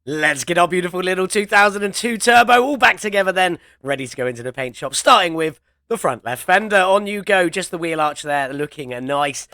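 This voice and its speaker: A man's voice: on the high side, pushed and bright, no echo. A young man casually commenting on his own gameplay while ill with a chest infection and a cold, chatty and talking himself through each step.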